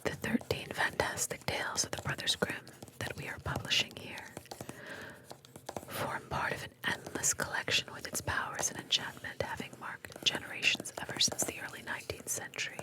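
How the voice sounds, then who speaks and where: A woman reading fairy tales aloud in a breathy, unvoiced ASMR whisper, slow and deliberate. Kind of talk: whispered ASMR storytelling with asides.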